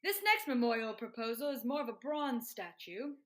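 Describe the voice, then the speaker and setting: Woman playing a bright, polite male adviser. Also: on the high side, big pitch swings, normal speed. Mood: tactful. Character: polite, earnest male presidential committee adviser. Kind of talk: old-time radio sketch comedy parody.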